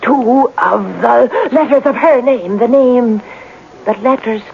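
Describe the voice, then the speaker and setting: An actress playing a theatrical, money-minded palm reader in a radio drama, speaking melodramatically and repeating phrases as if in a mystical trance. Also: female voice, a little high, slow, vocal fry. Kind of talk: 1970s radio drama acting. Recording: muffled, noisy old radio broadcast.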